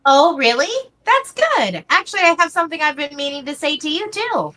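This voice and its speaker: A woman's voice: sits high, sing-song, brisk, sharp tone, heavily acted. A woman voicing a spirited, frank young female troll in an amateur webcomic dub. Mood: hesitant, hopeful. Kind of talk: amateur dramatic reading of a webcomic.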